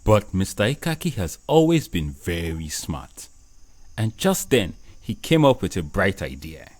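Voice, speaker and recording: A man's voice: deep voice, sing-song, measured pace, heavily acted. A man playing the storyteller of a folktale, narrating scripted lines for a children's cartoon. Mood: knowing.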